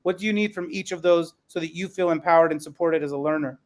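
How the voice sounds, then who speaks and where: A man with a high voice moderates a podcast panel. He speaks earnestly and conversationally, passing questions to the guests. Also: quick pace.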